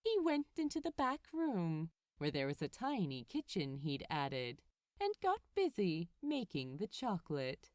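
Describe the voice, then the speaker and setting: A woman reading a story aloud as narrator, with expressive, deliberate phrasing. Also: lowish voice, dry recording, studio quality.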